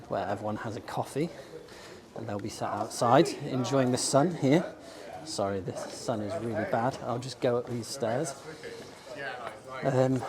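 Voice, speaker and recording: A man from England, slightly losing his voice, chatting enthusiastically as he films himself walking up a sunny outdoor shopping street. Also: mid-range voice. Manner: chatty and enthusiastic, with frequent ums. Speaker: bookshop owner and vlogger. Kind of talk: casual walking tour vlog. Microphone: handheld camera filming himself while walking. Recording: faint outdoor street ambience.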